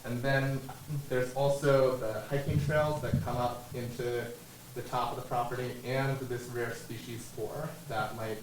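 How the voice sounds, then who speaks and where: A man's voice: mid-range voice, flat delivery, measured pace, noisy background. A man from a land conservation group speaking hesitantly at a public panel, with many fillers. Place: library meeting room. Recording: echoey room, far from mic.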